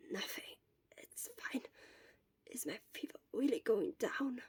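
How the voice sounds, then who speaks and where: A woman voicing a feverish, sullen teenage boy in a weak, hoarse, breathy mutter for an audio story. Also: a little high, expressive, slow pace, no echo, in a whisper, exaggerated voice. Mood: subdued.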